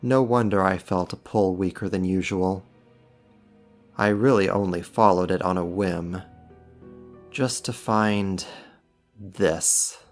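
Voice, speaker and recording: Deep male demon played by a man, unhurried, sly, sarcastic, condescending, ASMR roleplay, music behind. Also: steady tone. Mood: disdainful. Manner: sarcastic, teasing, drawn-out mock politeness. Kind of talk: ASMR roleplay, slow scripted monologue to the listener.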